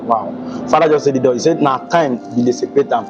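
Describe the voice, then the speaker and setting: Man, Nigerian Pidgin accent, talk show host, quick animated speech, lo-fi sound with music behind. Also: mid-range voice. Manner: animated, exclaims wow often, mixes Pidgin and English. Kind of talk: casual interview chat.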